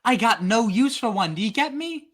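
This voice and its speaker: An irritable radio performer in a vintage-style radio play , acted by a man. His voice swings widely in pitch. He sounds brusque and easily exasperated. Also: lively intonation, normal speed. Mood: annoyed. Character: irritable male radio actor, Ed Henley. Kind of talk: old-time radio drama acting.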